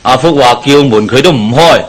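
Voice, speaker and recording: A man plays a wry, gossiping villager in a Cantonese radio play. The voice is mid-pitched and husky, with a Hong Kong accent. He sounds matter-of-fact and sceptical. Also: moderate pace, natural voice, rough recording. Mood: wry, gossipy. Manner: colloquial, wry. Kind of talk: Cantonese radio drama acting.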